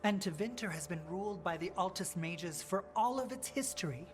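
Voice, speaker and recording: A woman playing a principled, firm political leader of a rebel faction in a video game, measured and earnest. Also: fairly low pitch, animated, faint music behind, close to an everyday voice. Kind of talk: video game drama acting.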